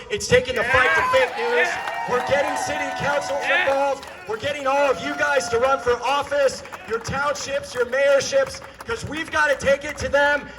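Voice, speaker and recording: Man, American accent, high-pitched, sharp bright tone, activist rally speech, emphatic repetition, projected delivery. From United States. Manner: emphatic, repeats key phrases for effect; projected, often shouting. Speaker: political activist addressing a rally.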